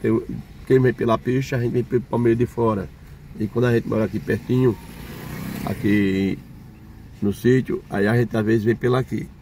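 A man's voice: medium pitch, fairly even intonation, moderate pace, warm tone, slight background noise. An older man, chatting casually to viewers while he drives and walks around a market. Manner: chatty, points things out to viewers. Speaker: vlogger. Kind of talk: casual vlog narration. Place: in a car and at an outdoor street market.

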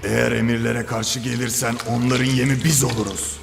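A man voices a cartoon prison guard, grim and uneasy. His delivery is flat and gravelly, with clear music behind. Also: mid-range voice, moderate pace, lightly acted. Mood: grim, warning. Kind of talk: dubbed cartoon acting.

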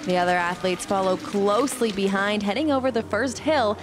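A woman giving recap commentary as a voice-over on a cross-country ski race, in a brisk play-by-play style. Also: mid-range voice, background noise. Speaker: sports commentator.

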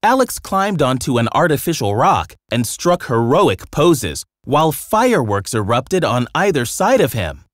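An expressive narrator reading a children's storybook, with very lively intonation and a medium pitch. The delivery is scripted and dramatic, recorded dry and close. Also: a little slow, few pauses, no echo.

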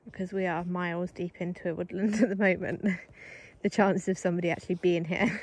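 A woman vlogging casually while riding an electric bike along a forest track, chatting to the camera and coaxing her dogs along in a high sing-song voice. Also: fairly even intonation, fairly quick, darkish tone, husky.

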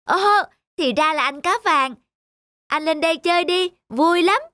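A woman doing a bright eager bear cub. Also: very high-pitched, measured pace, no room sound, clean studio sound, heavily acted. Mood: eager helpful. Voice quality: bright childlike. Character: eager cheerful little bear cub boy. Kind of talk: cartoon voice acting. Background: music.

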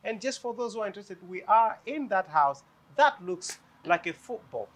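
A man's voice: sits high, animated, moderate pace, fairly bright tone. A man in his forties hosting a TV interview in a living room, playful and teasing, laughing often, on a lapel mic. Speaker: TV show host. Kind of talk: lively TV interview.

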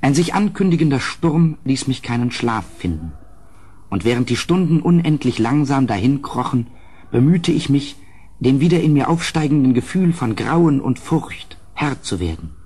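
Anxious gentleman houseguest, husky mid-pitched voice, grave literary delivery, gothic radio drama. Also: lively intonation, normal speed. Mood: grave, dread. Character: anxious, well-mannered male houseguest narrating his night of horror. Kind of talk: gothic horror radio play narration and acting.

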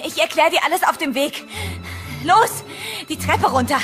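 A high-voiced, determined heroine of a horror radio play, played by an actress. She is bold and presses on with purpose. Music runs clearly behind her. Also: moderate pace, close to an everyday voice. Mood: urgent, determined. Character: determined, bold young woman investigating a haunted hotel. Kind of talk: horror radio drama acting.

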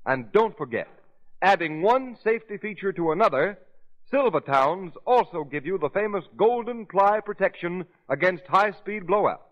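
A man announcing on 1930s radio, giving an emphatic, formal advertising pitch. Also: lively intonation, normal speed. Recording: dull 1930s radio recording.

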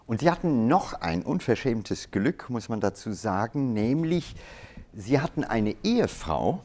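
Middle-aged male moderator, animated questioning, mid-range voice. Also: mid-range voice. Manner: lively, animated questioning, quick asides. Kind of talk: hosted stage conversation. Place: stage of an indoor event hall.

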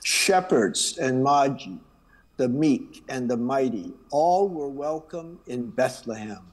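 Slow-speaking man. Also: medium pitch, expressive, rough recording. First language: Chinese. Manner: unhurried, friendly, with small asides and laughs. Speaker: worship leader reading announcements. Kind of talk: church announcements and call to worship. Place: church sanctuary.

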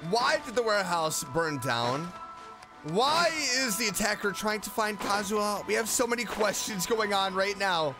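A man hosting a game playthrough, reacting and thinking aloud in an excitable way. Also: high-pitched, moderate pace. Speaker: gaming video host. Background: game background music.

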